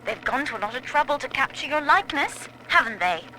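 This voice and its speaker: A woman's voice: high voice, very animated, fast talker, very noisy. A woman playing a sheltered, curious young daughter, polite but needling, in a video game audio log. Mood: curious, wry.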